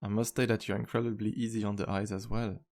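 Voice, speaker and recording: A man playing a charming, flirtatious vampire bartender in an ASMR roleplay, speaking in a courteous, teasing, intimate way with unhurried pauses. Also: on the low side, flat delivery, darkish tone, close to an everyday voice. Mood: flirty, teasing. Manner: smooth-talking, courteous, playful, unhurried pauses. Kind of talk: ASMR roleplay, intimate one-sided dialogue.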